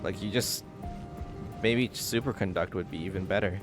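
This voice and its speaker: A man's voice: deep voice, normal speed. A man giving casual commentary over a video game as the host of a gaming video. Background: game music.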